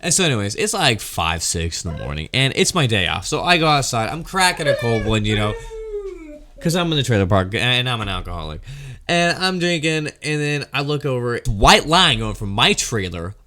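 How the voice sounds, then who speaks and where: A man in casual comedic storytelling mode as a host, animated and slangy, swearing freely and acting out quotes and sound effects. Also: medium pitch, moderate pace.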